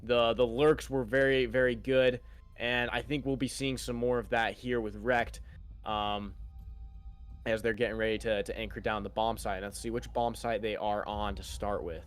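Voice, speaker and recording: A man's voice: mid-range voice, flat delivery, moderate pace, clear, resonant tone, soft background music. A man doing live esports commentary, upbeat and building hype, often repeating a word as he searches for the next. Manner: upbeat, stretches words, repeats himself mid-sentence. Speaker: esports caster.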